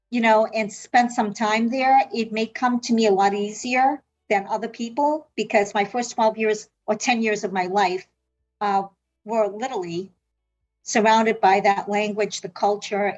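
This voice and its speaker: A woman's voice: medium pitch, steady tone, a little slow, soft background music. Earnest middle-aged woman, muffled recording. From South Africa. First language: Portuguese. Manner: earnest, with frequent fillers and restarts. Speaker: interviewee, medical interpreting leader. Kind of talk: reflective personal storytelling.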